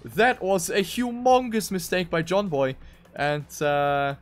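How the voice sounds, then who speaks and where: A man's voice: high voice, animated, slow, faint music behind. A man doing live esports commentary on a racing game, with dramatic, emphatic phrasing.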